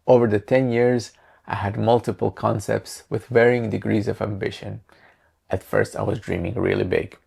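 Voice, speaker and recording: A man's voice: lowish voice, gravelly, a little mellow, slightly rough. A man in his thirties from Croatia, narrating his creative vlog in a wry, self-mocking way. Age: 30s. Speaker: creative channel host.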